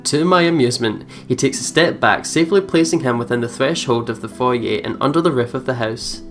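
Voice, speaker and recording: A woman let's player reading game narration aloud and commenting on it, expressive and giggly. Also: extremely low pitch, brisk. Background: game music.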